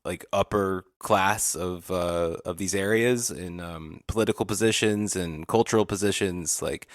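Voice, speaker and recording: A man's voice: sits low, measured pace, dry recording, clean studio sound. A man co-hosting a podcast, chatting informally about history with some swearing. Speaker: podcast co-host. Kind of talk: casual history discussion.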